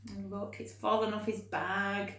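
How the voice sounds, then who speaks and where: Slow, animated woman storyteller, echoey room. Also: medium pitch. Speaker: storyteller for young children. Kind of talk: animated children's story reading with asides.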